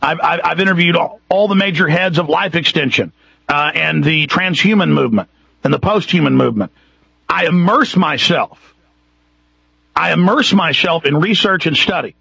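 A man's voice: fairly high pitch, moderate pace, gravelly, pushed and bright, breathy edge, no room sound. A man with a Texas accent hosting a radio talk show, ranting emphatically and piling up repeated points. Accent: Texan. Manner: emphatic, repetitive, building intensity. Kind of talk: impassioned talk radio monologue. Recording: muffled radio broadcast.

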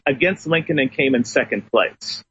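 A man's voice: medium pitch, normal speed, a bit bright, highs cut off, slight background noise. A man phoning in as a guest on a talk radio show, telling personal stories emphatically.

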